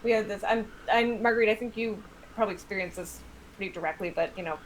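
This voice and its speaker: An American woman chatting casually as a guest author, telling stories in an animated, self-deprecating way with some swearing. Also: mid-range voice, fast, slight background noise, poor audio. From United States. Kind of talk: casual livestream chat.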